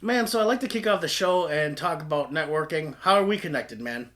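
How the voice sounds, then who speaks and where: A man's voice: fairly high pitch, lively intonation, brisk, a bit bright. A man hosting an interview podcast, talking in a friendly, conversational way and calling his guest "man" a lot. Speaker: podcast host, historian. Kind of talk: casual interview chat.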